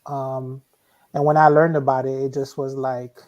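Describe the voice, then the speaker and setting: A man's voice: medium pitch, little pitch movement, normal speed, darkish tone, dull audio. A man born in Haiti and raised in Miami, a guest speaking steadily, sometimes repeating words as he thinks. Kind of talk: casual panel chat.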